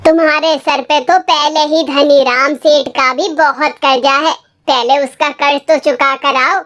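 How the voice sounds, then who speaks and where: A high-voiced storyteller voicing a blunt, distrustful village landlord with a disdainful manner. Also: fairly even intonation, a little slow, a bit bright. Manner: disdainful, refusing. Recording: music under the voice.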